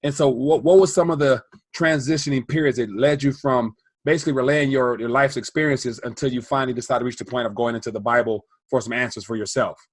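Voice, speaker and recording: A man's voice: medium pitch, fairly even intonation, fast talker, no room sound. A man hosting a finance interview, asking long, rambling questions. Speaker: interview host. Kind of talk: podcast interview.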